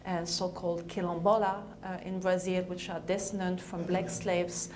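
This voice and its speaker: A woman's voice: on the low side, flat delivery, moderate pace, a little mellow. A woman in her forties, not a native English speaker, giving a university lecture in a seminar room with many fillers and self-corrections. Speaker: guest lecturer. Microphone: far room mic, then handheld mic. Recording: noisy room recording.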